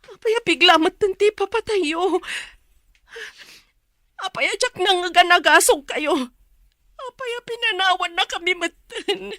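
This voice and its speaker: A woman's voice: fairly bright tone, dry recording, clean studio sound. A woman playing a grief-stricken, remorseful daughter in a radio melodrama, with a northern Philippine accent and a pleading, tearful voice. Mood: anguished, pleading. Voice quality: high, tearful. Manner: pleading, drawn-out emotional delivery. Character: grief-stricken, remorseful adult daughter mourning her mother.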